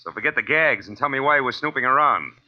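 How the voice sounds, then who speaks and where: An actor playing a tough, sardonic private detective in the style of a 1940s radio drama, with clipped delivery and wry first-person narration. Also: male voice, mid-range voice, expressive, fast talker, lightly acted. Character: hard-boiled, wisecracking male private detective. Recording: muffled vintage radio recording with music.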